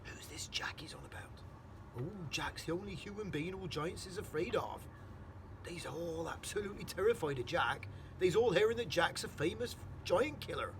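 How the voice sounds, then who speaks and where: A man voicing a big friendly giant who speaks in hushed tones with muddled grammar. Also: sits high, expressive, normal speed, recorded from a distance, slight background noise, voice pushed up.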